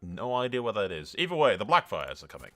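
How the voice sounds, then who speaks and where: A man's voice: lowish voice, very animated, fast, fairly bright tone. A man doing casual let's-play commentary, sweary and blunt, slipping between exclamations and in-character roleplay narration. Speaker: gaming video host.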